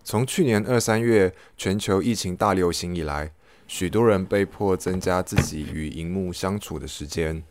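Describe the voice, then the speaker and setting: A man's voice: sits low, normal speed, a little hiss or hum. A man podcast host delivering a scripted, reflective personal monologue.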